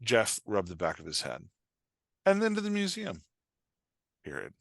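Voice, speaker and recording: Low-voiced man explaining, dry close recording. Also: normal speed, creaky, dry recording. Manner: animated explainer, frequent "like" and "right?" check-ins. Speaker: podcast guest, dialogue writing coach. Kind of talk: casual explanatory chat with improvised examples.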